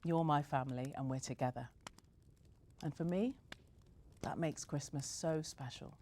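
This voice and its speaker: A woman's voice: low voice, unhurried, a little mellow, a little hiss or hum. A woman voicing a loving, frail old grandmother who speaks tenderly to her grandchild. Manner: tender, affectionate.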